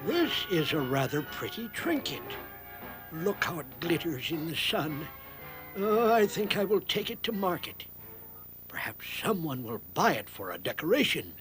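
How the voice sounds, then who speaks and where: A man playing greedy, foolish cartoon fable characters in an exaggerated, drawn-out, exclamatory way. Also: a little high, very animated, fairly bright tone, soft background music, low-quality recording. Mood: puzzled. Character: greedy, gloating wolf and foolish greedy farmer. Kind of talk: theatrical cartoon fable acting.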